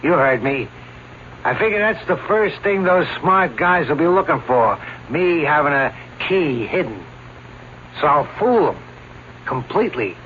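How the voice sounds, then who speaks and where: Cocky male escape-artist showman: high-ish pitch, slangy bragging, old radio drama, muffled noisy recording. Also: animated, unhurried. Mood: cocky, scheming. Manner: cocky, slangy streetwise talk. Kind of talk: vintage radio drama acting.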